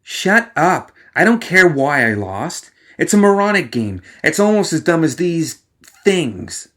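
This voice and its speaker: A man's voice: a little high, sing-song, unhurried, a bit bright, voice pushed up. Brash, petulant young gunslinger woman acted by a man. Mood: furious. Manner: petulant, blunt.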